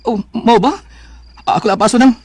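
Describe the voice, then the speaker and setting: Nagging young Bisaya boyfriend. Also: male voice, very high-pitched, brisk, breathy edge. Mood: casual, teasing. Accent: Cebuano Bisaya, Philippines. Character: young man quarreling with his girlfriend, nagging, jealous. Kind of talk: Bisaya radio drama acting.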